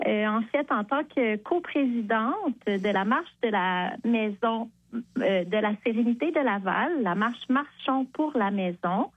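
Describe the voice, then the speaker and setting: A woman's voice: a little high, animated, measured pace, no echo. A woman, guest on a radio show, cheerfully promoting a charity walk over the phone. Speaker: guest, charity event co-chair. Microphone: phone line. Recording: tinny phone line.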